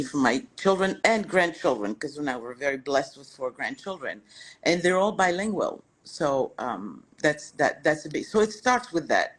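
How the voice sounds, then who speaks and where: A woman's voice: low voice, rough recording. Older French-speaking woman, reflective. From Lebanon. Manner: warm-hearted, reflective, with many fillers and restarts. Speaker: guest, restaurateur. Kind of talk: podcast interview answers.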